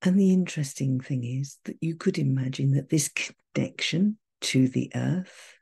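A woman guiding a meditation in a soft, breathy, soothing voice, gentle and measured with long pauses. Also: extremely low pitch. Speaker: meditation guide. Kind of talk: guided meditation, calm and soothing.

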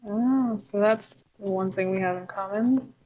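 A woman's voice: medium pitch, a little slow, lo-fi sound, lightly acted. A woman voicing a good-hearted, reserved puppet animatronic in an improvised game roleplay, with dry, terse, unimpressed delivery.

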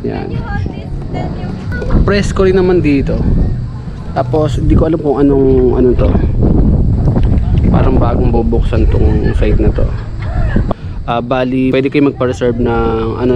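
A man's voice: medium pitch, highs cut off, very noisy. A man in his thirties chatting casually to a hand-held camera while reviewing food at an open-air rooftop restaurant. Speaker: food vlogger. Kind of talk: casual vlog chat. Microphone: camera held at arm's length.

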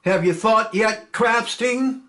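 A man's voice: high-pitched, slow, pitched above the performer's own voice. A man reading a play aloud, voicing a brash, boastful young male airman who bullies and taunts. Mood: taunting. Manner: loud, boastful, laughing.

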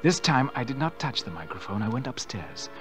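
Radio drama schemer: male actor as a smooth, falsely attentive nephew, theatrical and animated, with music underneath. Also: medium pitch, fairly quick, lightly acted. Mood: sly, suspenseful. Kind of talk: old-time radio mystery acting.

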